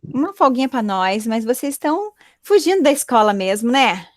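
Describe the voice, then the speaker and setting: A woman's voice: on the high side, very animated, moderate pace, dry recording, clean studio sound. A woman teaching a grammar lesson in an online class, explaining patiently and checking in with her students often. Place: home, online video class. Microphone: computer mic on a video call.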